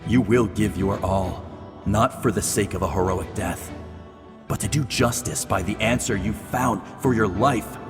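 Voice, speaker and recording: Slow, echoing low-voiced noble spirit. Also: male voice, vocal fry. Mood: exalted, ceremonial. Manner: solemn, measured, declamatory. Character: ancient heroic male spirit passing on his name, solemn, noble. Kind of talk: dramatic scripted game acting. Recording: long reverberant hall, music behind. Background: music.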